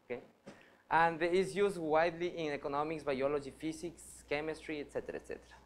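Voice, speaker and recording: A man in his thirties from Ecuador, a PhD student giving a workshop presentation with many pauses and restarts. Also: fairly high pitch, steady tone. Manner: hesitant, many fillers and restarts, earnest. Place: lecture hall.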